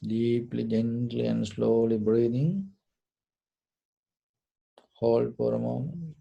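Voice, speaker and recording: A man leading a guided meditation, giving short, deliberate instructions in a gentle, soothing manner. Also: medium pitch, fairly even intonation, vocal fry, lo-fi sound. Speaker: meditation teacher.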